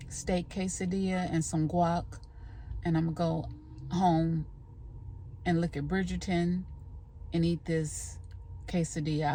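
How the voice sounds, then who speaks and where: A woman's voice: on the low side, dark tone, slight background noise. A woman vlogger chatting casually to the camera in a rambling, scattered way, recorded on a handheld phone.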